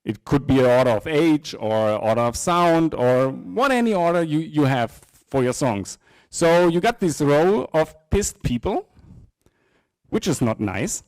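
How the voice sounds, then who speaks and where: A middle-aged man giving an informal live technical presentation, often halting and repeating words. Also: fairly high pitch, very animated, darkish tone, dry recording, low-quality recording. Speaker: software presenter. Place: indoor presentation room with small audience. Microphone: handheld microphone.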